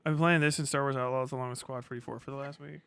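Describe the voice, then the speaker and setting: A man's voice: mid-range voice, fairly even intonation, rapid-fire, fairly bright tone, clean tone. A man chatting casually on a livestream as he plays a video game, rambling in slangy, profane language. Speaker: live streamer.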